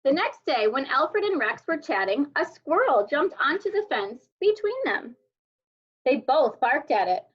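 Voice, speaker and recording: A woman reading a children's picture book aloud as a storyteller, with expressive, deliberate delivery. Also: high-pitched. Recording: dull lo-fi sound.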